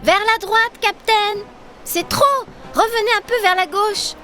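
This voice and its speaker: A woman voicing a plucky, adventurous young girl explorer in a children's cartoon, speaking in an eager, animated, explanatory way. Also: extremely high pitch, unhurried, slight background noise, exaggerated voice. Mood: urgent.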